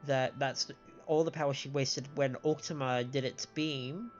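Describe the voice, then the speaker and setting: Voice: mid-range voice, fairly even intonation, a little slow. Analytical game streamer, dull lo-fi mic. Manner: analytical, explanatory. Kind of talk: casual live game commentary. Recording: dull lo-fi mic over faint music. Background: faint game music.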